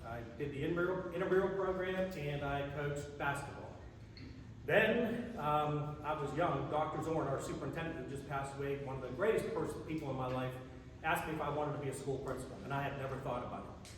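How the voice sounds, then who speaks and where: A man's voice: on the high side, steady tone, fairly quick. A man in his sixties, a retired school principal, telling his career story at a public forum in an upbeat way. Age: 60s. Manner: upbeat, storytelling, with some restarts. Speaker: township trustee candidate, retired school principal. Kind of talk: candidate introduction at a public forum. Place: large echoing public hall. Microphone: distant microphone. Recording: echoey hall from far off, background noise.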